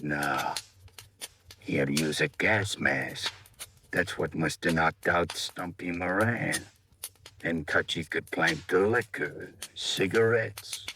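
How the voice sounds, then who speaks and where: Weak, low-voiced wounded crook. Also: male voice, takes it slowly, pushed and bright, exaggerated voice. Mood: weak, earnest. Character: gravely wounded small-time crook, weak, loyal, wary. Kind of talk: 1950s radio drama acting. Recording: noisy old radio recording with clicks.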